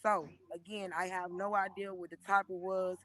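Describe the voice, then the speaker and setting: A hoarse woman in her thirties gives an emotional, preachy testimony on a live stream, speaking into a phone and often calling listeners by name. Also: medium pitch, level tone, moderate pace, fairly bright tone. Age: 30s. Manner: earnest and preachy, repeatedly addressing listeners by name.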